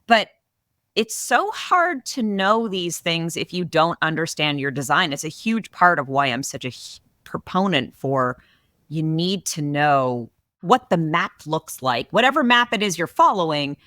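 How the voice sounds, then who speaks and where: Low, bright-voiced woman podcast host. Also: sing-song, unhurried, no room sound, studio quality. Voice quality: big voice. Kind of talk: casual podcast conversation.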